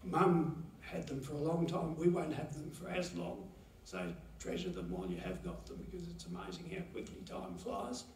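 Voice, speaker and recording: A man gives a halting, pause-filled eulogy for his mother at a chapel lectern. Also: on the high side, lively intonation, vocal fry, darkish tone, a little hiss or hum. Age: 70s. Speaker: son giving a eulogy. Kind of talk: memorial eulogy. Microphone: lectern mic, heard from a far camera. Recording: roomy chapel echo from far off.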